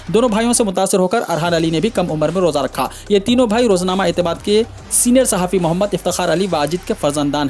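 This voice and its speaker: A man's voice: sits high, darkish tone. A man reading scripted news in a formal manner, delivering headlines and stories as a news reader.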